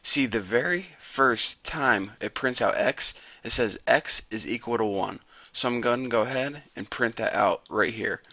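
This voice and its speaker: A raspy-voiced young man casually teaching a programming lesson to viewers. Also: medium pitch, a little slow, sharp tone, dry recording. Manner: casual, chatty, talks to viewers as guys. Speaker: programming tutor. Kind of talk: casual screen-recorded coding tutorial.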